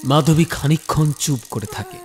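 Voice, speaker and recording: A man's voice: a little high, moderate pace. A man giving a dramatic scripted narration as the storyteller of a horror tale. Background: music bed.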